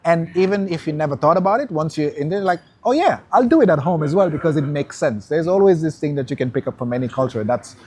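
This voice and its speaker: A man's voice: fairly high pitch, animated, a little mellow. Thoughtful man from Senegal. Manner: thoughtful, measured, with hesitant restarts. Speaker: guest. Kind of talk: conversational interview.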